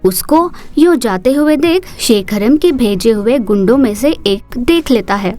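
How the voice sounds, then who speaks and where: A woman narrating a cartoon story in a steady, expressive storytelling manner. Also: a little high, measured pace, faint music behind.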